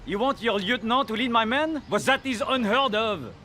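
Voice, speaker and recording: An actor playing a proud, indignant French army commandant in a game cutscene, with a French accent and a haughty, excitable manner. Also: male voice, very high voice, moderate pace, a little hiss or hum. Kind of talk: dramatic game cutscene acting. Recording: music playing behind dialogue.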